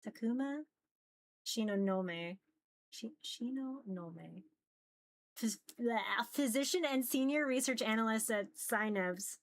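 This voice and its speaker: A woman's voice: a little high, slow, no room sound. A woman streamer reading a visual novel aloud in a casual live style, often stumbling over words and teasing herself.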